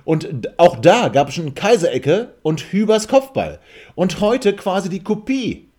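A man's voice: high-pitched, sing-song, unhurried, a little mellow. A man hosting a casual football fan chat, teasing and repeating his phrases for emphasis. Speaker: podcast host.

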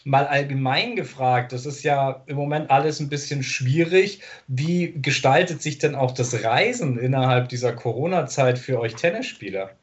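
A man's voice: medium pitch, normal speed, few pauses. A man interviewing a tennis player, asking long, chatty questions and often stopping to search for words. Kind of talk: sports interview. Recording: dull lo-fi sound.

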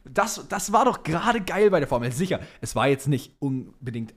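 A man's voice: on the high side, big pitch swings, moderate pace. A young man co-hosting a podcast, chatting casually and enthusiastically in colloquial, slangy language like a keen fan. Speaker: podcast co-host. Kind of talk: casual fan chat about motorsport.

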